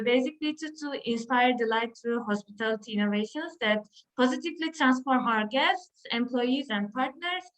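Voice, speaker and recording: A woman's voice: fairly high pitch, a bit bright, rough recording. A young woman with a Turkish accent, a student intern hosting an online panel, talking with frequent hesitations. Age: young adult. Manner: hesitant, many filler sounds. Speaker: event host, HR intern.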